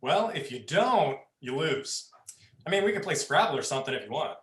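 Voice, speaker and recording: Guy acting a smug taunting young dude, highish animated voice, roomy echo. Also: normal speed. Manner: teasing, smug. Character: cocky, taunting young man, Wendell. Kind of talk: livestream dramatized script reading.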